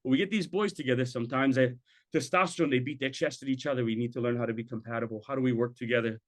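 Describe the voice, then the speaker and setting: A middle-aged man, speaking through a webcam on a video call from an indoor room. He presents in a conversational way and checks in with his listeners as he talks. His voice sits at an ordinary male pitch. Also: rapid-fire. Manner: conversational, tag questions, sprinkles in Hawaiian words. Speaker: program presenter. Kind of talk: presenting a talk.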